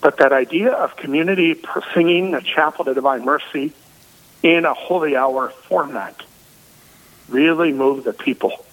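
Energetic male pastor, big loud voice, higher pitch, radio call sound. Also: moderate pace, phone-quality sound. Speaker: parish priest. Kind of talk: radio interview by phone.

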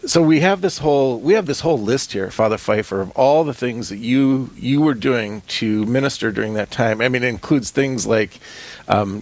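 Male talk-radio co-host, average pitch, halting interviewer. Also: rough recording.

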